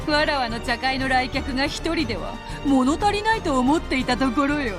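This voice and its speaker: A woman's voice: sits high, very fast, close to an everyday voice. A woman playing a haughty, regal witch in a visual novel, speaking in an imperious, mocking, archaic way. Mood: haughty, amused. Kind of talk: Japanese visual novel acting. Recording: game audio with loud music.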